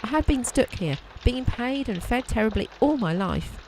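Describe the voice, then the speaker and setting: A mistreated maid, as acted in an audio drama, bitter and plaintive. The pitch swings widely as the servant speaks, over clear background noise. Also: lively intonation, normal speed, close to an everyday voice, poor audio.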